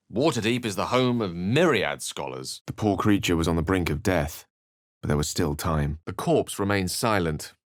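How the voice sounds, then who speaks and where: An actor playing a courteous, erudite wizard adventurer in a video game, with eloquent, measured, theatrical phrasing and a wry, earnest temper. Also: male voice, deep voice, fairly bright tone, no echo, clean studio sound. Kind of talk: video game character lines and narration.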